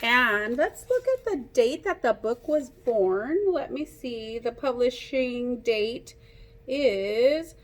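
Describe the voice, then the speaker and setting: Slow-paced, higher-voiced woman reading to children. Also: animated. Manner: simple explanations and questions addressed to young children. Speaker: teacher reading a picture book aloud to children. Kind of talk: interactive children's read-aloud.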